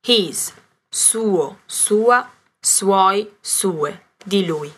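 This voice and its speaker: A woman's voice: medium pitch, expressive, fairly bright tone, husky. A woman narrating a language lesson, carefully spelling out words. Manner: slow, carefully spelled-out reading.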